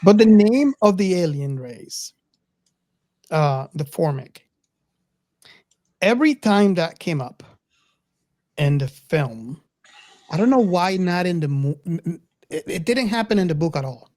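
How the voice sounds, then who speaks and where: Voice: medium pitch, animated, measured pace, pauses often, mellow, dry recording, studio quality. A podcast host in a casual film discussion, speaking hesitantly and often stammering over repeated words.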